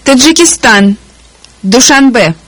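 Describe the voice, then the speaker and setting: A woman speaking single words slowly and deliberately as a model for learners. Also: high-pitched, lively intonation, dry recording. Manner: deliberate, articulated word by word. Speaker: native speaker on a language course recording. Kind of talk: slow, careful model pronunciation.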